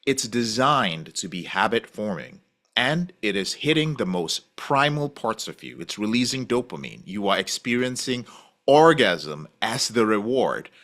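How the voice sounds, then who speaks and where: A man who is a podcast guest, talking in an animated, casual way and acting out the voices he quotes. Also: lowish voice, unhurried. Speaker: podcast guest, recovery program founder.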